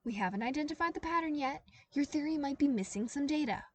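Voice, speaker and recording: A woman's voice: high voice, lively intonation, fast, distant mic, voice pushed up. A woman voicing a tired, sarcastic young woman intern, wry and a little whiny. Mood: exasperated.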